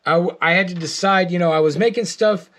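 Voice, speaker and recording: A middle-aged American man giving a casual, rambling video update, halting often and repeating his words. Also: high-pitched, fairly bright tone. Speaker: artist and toy maker. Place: art studio, indoors.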